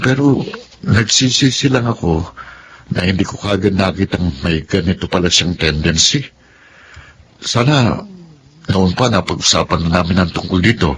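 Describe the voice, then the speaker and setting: Guy doing a fed-up young husband, lower voice, complaining, faint hiss. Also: a bit bright. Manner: complaining, exasperated. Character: young husband Nathan, 30, frustrated, wants independence. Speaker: husband in radio drama. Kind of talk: Filipino radio drama acting.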